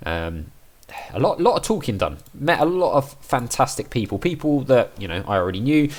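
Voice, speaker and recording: A man with a croaky, worn voice, chatting casually as he plays through an adventure game and thinks aloud. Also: mid-range voice, big pitch swings, normal speed. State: croaky voice, recovering from overuse. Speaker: let's play gamer.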